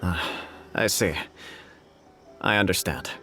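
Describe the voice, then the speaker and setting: A man's voice: sits low, slow pace, bright, forceful tone, faint music behind, exaggerated voice. An actor playing a dignified nobleman of a shogunate in a video game, formal, polite and stately. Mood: attentive. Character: dignified, courteous adult male shogunate nobleman.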